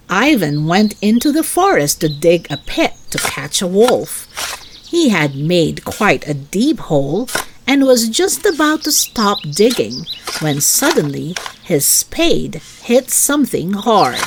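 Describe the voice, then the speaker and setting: A woman reading a story aloud to children in an expressive, deliberate, child-directed way. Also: lowish voice, noisy background.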